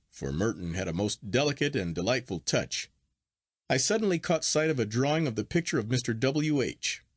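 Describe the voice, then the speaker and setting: A man reading a literary story aloud as an audiobook narrator, in a measured, articulate and expressive storytelling manner. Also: medium pitch, no echo, studio quality. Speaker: audiobook reader.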